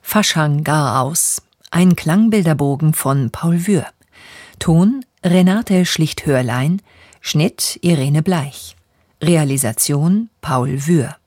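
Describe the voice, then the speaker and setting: An announcer reading out broadcast programme credits in a measured way. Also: mid-range voice, a little mellow, no echo, studio quality.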